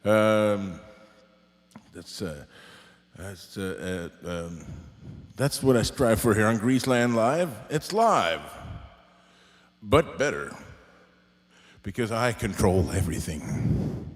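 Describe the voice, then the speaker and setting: A man's voice: fairly low pitch, expressive, takes it slowly, pauses often, no echo. Rambling Norwegian-accented man, dry sound. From Norway. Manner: rambling, hesitant, joking. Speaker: host of a live music show. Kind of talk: casual livestream chat. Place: home studio.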